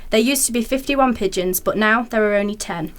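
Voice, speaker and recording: A woman's voice: mid-range voice, brisk. A woman reading lyrical, scripted narration for a radio play.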